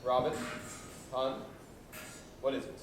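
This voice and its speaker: A man's voice: mid-range voice, level tone, slow pace, natural voice. A man playing a self-absorbed, casually callous husband in a student stage comedy. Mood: concerned. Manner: offhand, casual. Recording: echoey hall, distant mic, noisy.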